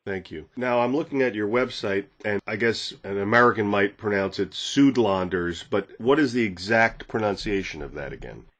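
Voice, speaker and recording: A man's voice: fairly low pitch, normal speed, few pauses, a bit bright. A man hosting a podcast, asking long conversational questions of a guest. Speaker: podcast host. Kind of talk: interview.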